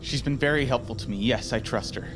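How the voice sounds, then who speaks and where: An actress playing a tense, determined rescuer in an audio drama, speaking in a brisk, practical, urgent way. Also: female voice, rumbling low, over music, rough recording. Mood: focused.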